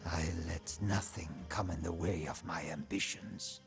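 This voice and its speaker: A man's voice: low voice, fairly even intonation, creaky, faint music behind. An actor playing an ambitious, scheming old prime minister, solemnly and theatrically narrating his life for a storybook game campaign. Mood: bitter, remorseful. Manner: solemn, theatrical, deliberate, with hushed line endings.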